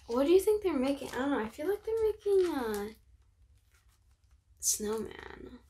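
A young teenage girl reading a picture book aloud, expressive and child-friendly, with chatty asides. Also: high voice, unhurried. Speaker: host of a read-aloud channel. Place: bedroom, sitting on a bed. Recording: clear background noise.

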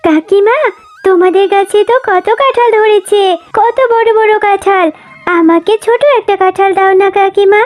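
Very high, eager little fox girl. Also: fairly even intonation, normal speed, a little mellow. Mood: pleading. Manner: pleading, childlike. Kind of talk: cartoon voice acting. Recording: music under the voice.

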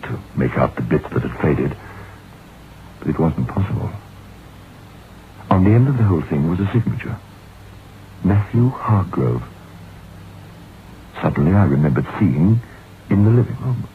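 Very deep-voiced uneasy writer. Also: male voice, exaggerated voice. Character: curious, uneasy male writer narrating a haunted-house tale. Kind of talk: old-time radio acting. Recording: muffled, hissy vintage radio recording.

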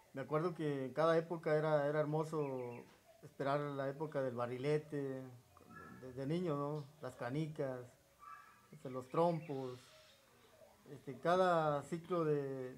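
A man in his forties from Chiapas with a southern Mexican accent, reminiscing in an interview in a halting, unhurried way. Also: a little high. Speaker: interview guest. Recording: noticeable background ambient noise.

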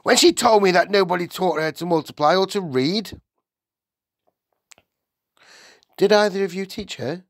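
A man's voice: sits high, a little fast, a bit bright, no room sound, clean studio sound, pitched above the performer's own voice. A man voicing a slim young woman schoolteacher in a storybook, polite and earnest but firm. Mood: challenging.

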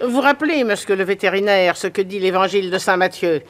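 A woman's voice: medium pitch, lively intonation, a little fast, a little hiss or hum, natural voice. A woman playing a prudish, scolding old spinster neighbor in a French radio drama. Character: prim nosy old spinster, moralizing neighbor.

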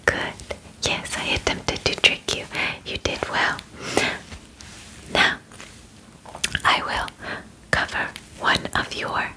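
Whispering young woman, slow and deliberate. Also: low-quality recording. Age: 20s. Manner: slow, soft, deliberate, formal clinical phrasing. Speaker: ASMR roleplayer acting as alien examiner. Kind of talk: whispered ASMR personal-attention roleplay. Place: small bedroom, indoors.

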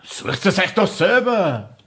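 An actor playing a defiant, evasive crime suspect in a radio docudrama, with a Viennese accent and an insolent, colloquial manner. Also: male voice, sits high, sing-song, slow pace, fairly bright tone, husky, heavily acted.

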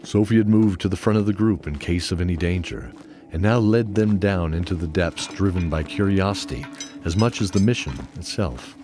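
A man with a very low voice tells a scripted fantasy tale. He serves as the narrator of an audio drama. Music plays clearly behind him. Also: normal speed. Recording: music under the voice.

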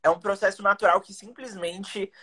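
Young brazilian guy, english teacher on a webcam call, husky voice, chatty and energetic. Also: high-pitched, normal speed. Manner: energetic, slangy, explaining with rhetorical questions. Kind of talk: casual live-stream chat, teaching tips. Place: indoors, small room. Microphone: webcam on a video call.

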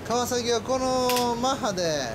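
Chatty Kansai man with a high voice. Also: animated, unhurried. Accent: Kansai Japanese. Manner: friendly, talkative, chuckling. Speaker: cafe owner chatting with riders. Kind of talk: casual chat. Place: outdoors by a cafe parking area. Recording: outdoor noise, passing cars.

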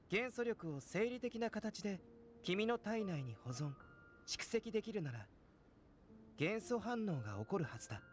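A man playing a calm, courteous young alchemist in an anime game, speaking in a measured, gentle, analytical way. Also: high-pitched, slight background noise. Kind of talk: scripted anime game dialogue. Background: game music.

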